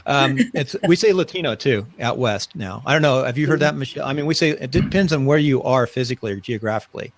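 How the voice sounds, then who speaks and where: A man's voice: medium pitch, expressive, fast talker, few pauses. A middle-aged man hosting a casual live-stream interview, chatty and rambling, often restarting his sentences. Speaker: host.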